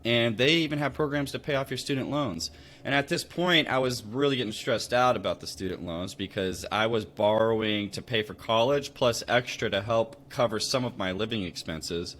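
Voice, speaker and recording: A man's voice: mid-range voice, normal speed, fairly bright tone, slight background noise. An American man speaking in a casual, conversational storytelling style as a podcast guest. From Louisville, Kentucky, United States. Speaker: podcast guest, real estate investor.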